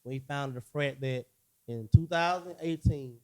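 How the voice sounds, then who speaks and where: A man's voice: mid-range voice, normal speed, soft background music. A man hosting a casual conversation among friends, asking pointed questions and repeating himself for emphasis. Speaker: podcast host. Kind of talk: casual chat.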